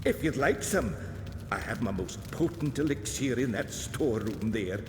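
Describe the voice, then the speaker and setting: Man as an animated eccentric alchemist. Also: medium pitch, moderate pace, noisy background, lightly acted. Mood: friendly, dotty. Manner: theatrical, dotty, lilting. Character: eccentric excitable old male alchemist professor, kindly. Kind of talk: video game character acting. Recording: echoey reverberant sound with music behind.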